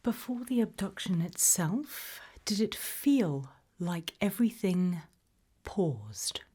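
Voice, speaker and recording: A British woman whispers in a low, mellow, breathy register. She takes her time, putting careful, calm questions as an interviewer in an ASMR roleplay. The delivery is soft and measured throughout. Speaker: ASMR roleplayer playing an abduction investigator.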